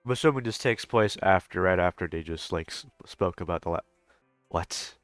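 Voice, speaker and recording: A man's voice: low voice, moderate pace, no room sound. Man doing casual game reaction, kinda hesitant and rambly, dry close sound, music under it. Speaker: gaming streamer reacting to a story quest.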